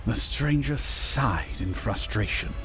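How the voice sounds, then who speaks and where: Slow, expressive male story narrator. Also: fairly low pitch. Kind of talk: scripted fantasy story narration. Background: music.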